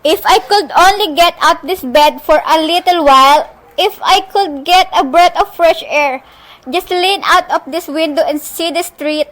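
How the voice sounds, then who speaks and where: A woman's voice: very high-pitched, a little slow, a bit bright, a little hiss or hum, low-quality recording. A woman acting in an amateur suspense radio play as a nervous, irritable bedridden woman, complaining imperiously on the telephone. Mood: fretful.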